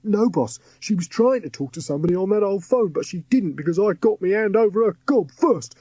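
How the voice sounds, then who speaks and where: A man's voice: sits high, expressive, brisk, mellow, husky, dry recording, pitched above the performer's own voice. A man voicing a crude, fawning male henchman who sniggers at his captive.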